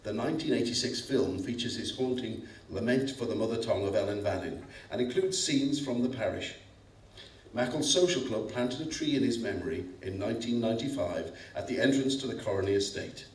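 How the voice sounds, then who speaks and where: A man's voice: mid-range voice, fairly quick, a little hiss or hum. A middle-aged man reading a scripted introduction aloud as a presenter. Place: school hall stage before an audience. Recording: echoey hall, distant microphone.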